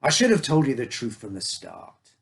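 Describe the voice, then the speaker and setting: Husky, secretive dad voiced by a male narrator. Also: medium pitch, very animated, moderate pace. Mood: apologetic. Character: secretive, apologetic father confiding in his young son. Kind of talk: character dialogue in a read story.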